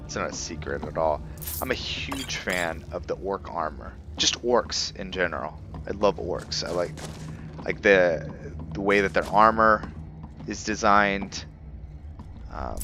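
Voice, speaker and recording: A man with a low-pitched voice commentates his roleplay game in a casual way, chatting to viewers and muttering short reactions as he plays. Prominent music runs behind his voice. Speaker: gaming video host playing and commentating.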